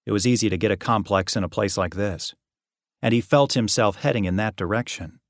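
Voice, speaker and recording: A man reading a novel as an audiobook narrator, expressive and wry. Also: lowish voice, fairly quick, no room sound, studio quality. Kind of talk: scripted fiction narration.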